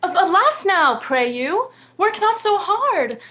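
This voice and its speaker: A woman acts a devoted young maiden in a Shakespearean romance. The voice is high, with a tender, earnest delivery. The maiden is innocent and modest, yet forthright in love. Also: very animated, takes it slowly, sharp tone, full character voice. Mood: concerned, pleading. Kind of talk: Shakespearean stage acting.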